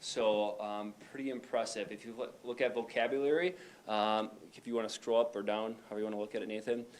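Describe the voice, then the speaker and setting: A man, a high school principal, reporting to a school board in a loose, conversational way with frequent fillers. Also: little pitch movement, fast talker. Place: meeting room.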